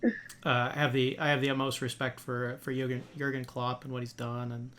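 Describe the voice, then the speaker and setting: A friendly man hosts a club podcast. He talks casually, with lots of "uh" and restarts, at an average pitch. There is faint noise in the background. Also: little pitch movement, brisk, fairly bright tone. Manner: friendly, many fillers and restarts. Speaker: podcast host. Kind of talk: casual interview.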